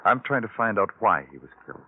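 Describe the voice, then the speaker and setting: Low, brooding radio police detective. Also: male voice, big pitch swings, fast talker, husky. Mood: measured questioning. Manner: clipped, poetic phrasing. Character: terse, brooding male police detective who narrates his case. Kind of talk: old-time radio crime drama acting, second-person narration. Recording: muffled, tinny old radio recording with music.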